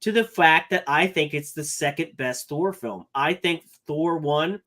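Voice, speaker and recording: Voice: mid-range voice, measured pace, fairly bright tone. A podcast co-host with a mid-range voice talks through opinions in casual chat, earnestly thinking aloud and stacking up points one after another. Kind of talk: casual movie review chat.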